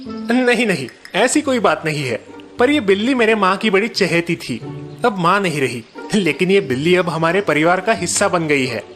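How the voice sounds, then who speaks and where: A man's voice: high-pitched, expressive, normal speed, a bit bright, faint music behind. A man voicing a shrewd, miserly Marwari bargainer in a cartoon story, hesitant and feigning sentimental reluctance. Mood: reluctant, sentimental.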